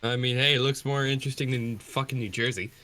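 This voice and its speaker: A man with a medium-pitched, notably bright voice takes part in a livestream as a guest. His talk is casual and conversational. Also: fairly even intonation, fast. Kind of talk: casual chat.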